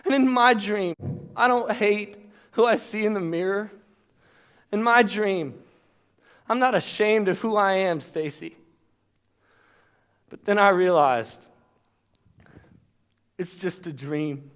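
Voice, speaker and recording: A man playing a shy, self-mocking young man in a church stage monologue, joking about himself and then pleading with strong feeling. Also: fairly high pitch. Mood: anguished. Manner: quick self-deprecating jokes that swell into impassioned outbursts.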